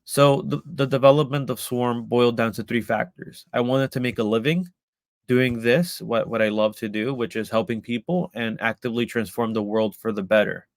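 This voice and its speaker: A man from El Salvador gives a live online talk, pausing often with ums and small restarts. His voice is smooth and clear. It sits at an ordinary pitch in a dry, close recording. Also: steady tone, no room sound. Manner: hesitant, many ums and restarts. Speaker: community founder presenting.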